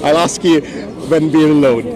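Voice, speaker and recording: Calm guy poking at a preacher with questions, highish voice, crowd noise outside. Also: moderate pace, rough recording. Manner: calm, probing questions, short agreeing replies. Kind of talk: street debate. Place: outdoor public speaking spot with a crowd. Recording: outdoor crowd around the speakers.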